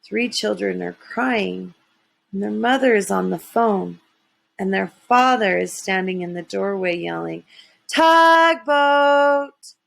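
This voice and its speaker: A woman's voice: mid-range voice, unhurried, vocal fry. A woman narrating a children's story expressively, with dramatic emphasis. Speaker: storybook reader.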